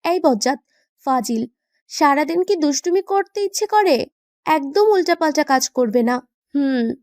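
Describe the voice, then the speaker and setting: A woman acts out a playful young wife with a high-pitched voice, recorded dry and close. She pouts, banters and mock-scolds, quick to protest, in the style of a romantic audio drama. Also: expressive, a little mellow, no room sound. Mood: pouty, scolding. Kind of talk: romantic audio story acting.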